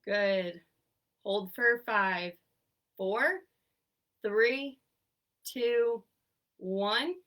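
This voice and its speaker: A woman's voice: mid-range voice, very slow, pauses often, a bit bright, recorded from a distance. A young woman occupational therapist with a congested, stuffy voice, cheerfully leading a seated exercise class and counting down each hold. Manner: upbeat, encouraging, counts down holds. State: congested, stuffy from sinus trouble. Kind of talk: instructing an online exercise class.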